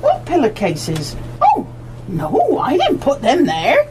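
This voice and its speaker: A woman playing a practical, caring householder in an amateur radio play, expressive and matter-of-fact. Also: sits high, slow pace, full character voice. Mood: surprised. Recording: noisy live amateur radio broadcast recording.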